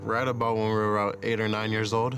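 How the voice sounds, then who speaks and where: A man's voice: lowish voice, flat delivery, fast talker, music in the background. A man around twenty, reflecting on his life in an interview. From Canada. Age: 20s. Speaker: interviewee, soccer player.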